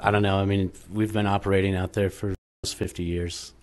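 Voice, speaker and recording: A middle-aged business owner answering questions at a public meeting, defensive and quick to argue with figures. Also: male voice, deep voice, little pitch movement, a little mellow. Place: town council meeting room, indoors. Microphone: desk microphone.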